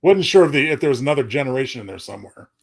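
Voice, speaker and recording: A man's voice: mid-range voice, animated, very fast, vocal fry. A man co-hosting a show in casual chat, working things out aloud a little tentatively. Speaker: show co-host.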